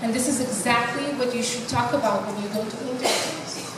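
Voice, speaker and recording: A woman's voice: on the high side, fairly even intonation, fairly quick. A woman with an Israeli accent, speaking upfront and emphatically as a panelist in a panel discussion. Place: event hall. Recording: echoey hall from far off, noisy.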